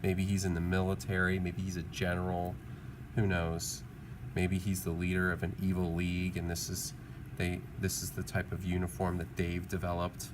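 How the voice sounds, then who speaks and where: A man's voice: deep voice, completely flat delivery, moderate pace. A man giving a casual drawing tutorial, thinking aloud in an informal, encouraging, musing way as an art teacher. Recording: clearly audible background noise.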